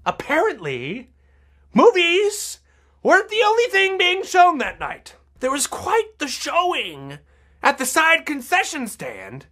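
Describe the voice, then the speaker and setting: A young woman telling a comic personal story, animated and breaking into shouts and laughter. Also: high-pitched, slow pace.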